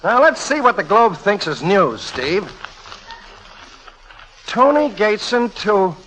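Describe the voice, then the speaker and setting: An actor playing an excitable, needling newspaperman pal in 1940s radio comedy style. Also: sits high, slow pace, heavily acted, poor audio. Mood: amused.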